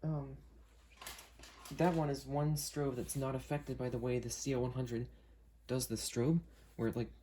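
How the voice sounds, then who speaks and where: A man's voice: mid-range voice, flat delivery, unhurried, mellow. A man hosting an unboxing video, talking casually and hesitantly as he works through a product demo. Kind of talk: casual unscripted product demo with friends on a call.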